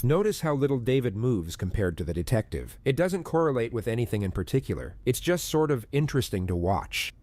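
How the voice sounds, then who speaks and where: A man narrating a true-crime documentary from a script in a measured, observational manner. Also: mid-range voice, very animated, darkish tone, a little hiss or hum.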